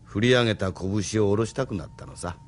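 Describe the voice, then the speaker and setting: A man's voice: fairly low pitch, fairly quick, slight background noise, lightly acted. An actor in a Japanese audio drama playing a sardonic, unruffled retired rebel in his seventies, speaking with blunt familiarity. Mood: weary. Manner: familiar, gruffly worldly, sardonic. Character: wry, unruffled 75-year-old retired male rebel activist.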